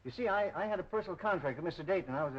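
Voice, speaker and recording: An actor playing a nervous, evasive employee in a 1930s mystery film, stammering out excuses. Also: male voice, sits high, lively intonation, racing. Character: nervous, evasive male employee caught snooping. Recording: dull, lo-fi 1930s film soundtrack.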